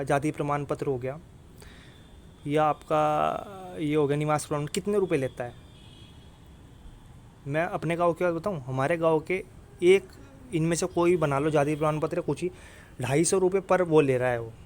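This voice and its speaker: A man's voice: on the high side, a little mellow, slight background noise. A man from India with a North Indian accent, giving an informal explainer straight to viewers in a blunt, insistent manner, repeating his points and checking they follow. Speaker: exam coaching YouTuber.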